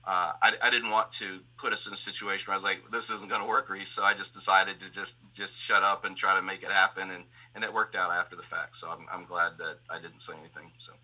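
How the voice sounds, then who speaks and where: A man with a deep-pitched voice that has a very bright, forceful edge. He explains things casually as a panel guest, informal and quick to quote his own thoughts. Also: flat delivery, low-quality recording. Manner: informal, self-quoting. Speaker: audio engineer and panel guest.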